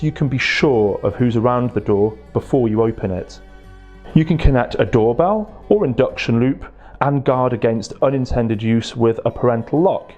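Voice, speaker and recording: A man giving a scripted promotional presentation in a measured, articulate way, as a product presenter. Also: medium pitch, a little mellow. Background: music.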